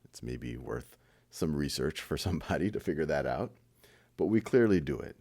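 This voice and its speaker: A man leading a guided meditation in an informal, conversational style, with a gentle, encouraging manner and light self-deprecating humour. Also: rumbling low, animated, normal speed, gravelly.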